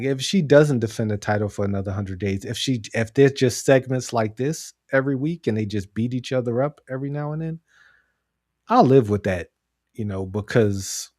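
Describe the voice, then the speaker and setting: A man's voice: lowish voice, darkish tone, dry recording, clean studio sound. A man co-hosting a podcast in casual chat, giving his opinions and repeating phrases for emphasis. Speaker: podcast co-host. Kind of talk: casual chat, pro wrestling commentary.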